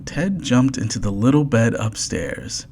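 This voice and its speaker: Storyteller, children's picture book, very slow pace, lively pitch movement, deliberate read-aloud delivery. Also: slightly rough. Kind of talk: scripted children's storybook narration.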